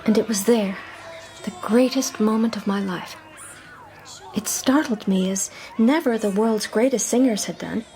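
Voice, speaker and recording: A woman's voice: mid-range voice, unhurried, dark tone, faint music behind, lightly acted, rough recording. An actress playing a young, loyal and proud society woman detective in a radio drama, speaking in a refined, heartfelt way. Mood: earnest, reflective.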